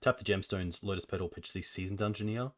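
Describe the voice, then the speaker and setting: A man with a notably low, deep voice talks through a card game. He is calm and analytical, walking through each play step by step in casual conversation. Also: fast. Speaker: streamer host. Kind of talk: casual gameplay chat.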